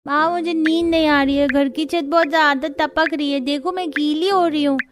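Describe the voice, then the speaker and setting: Woman playing little girl, very high pitch, innocent, cartoon drama, rain noise behind. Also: fairly even intonation, normal speed, close to an everyday voice. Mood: uncomfortable, plaintive.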